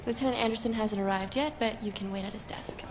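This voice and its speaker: Woman playing a rapid-fire polite receptionist. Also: medium pitch, background noise, lightly acted. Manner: polite, efficient. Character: brisk female android receptionist.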